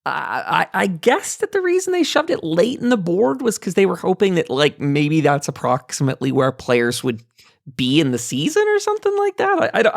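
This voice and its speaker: Guy hosting a gamer panel, really animated, bit of gravel, dry close sound. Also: on the high side, no room sound. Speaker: host of a gaming roundtable show. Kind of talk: casual panel discussion.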